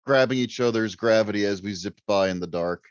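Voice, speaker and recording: A man's voice: fairly low pitch, brisk. A man chatting casually as a guest author on a podcast, wordy, self-deprecating and joking. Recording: roomy, slightly dull microphone sound.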